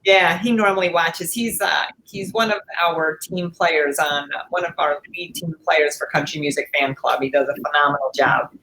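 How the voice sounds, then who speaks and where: A woman's voice: low voice, steady tone, moderate pace, few pauses, fairly bright tone, slight background noise, low-quality recording. Woman, middle aged, co-hosting a podcast, just chatting casually. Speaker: podcast co-host.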